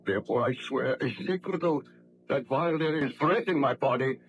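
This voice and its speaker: A man's voice: medium pitch, normal speed, dull audio, soft background music, lightly acted. Man playing an anguished, theatrical guilt-ridden baron. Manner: theatrical, impassioned, formal diction. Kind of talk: old-time radio drama acting. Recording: noisy vintage radio drama recording.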